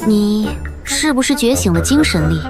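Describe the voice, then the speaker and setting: A woman's voice: medium pitch, lively intonation, normal speed, natural voice. A young actress playing an earnest, spirited young woman from a family of mechanics, over background music. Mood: eager. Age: young adult. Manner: earnest, urgent. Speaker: heroine. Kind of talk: animated drama dubbing.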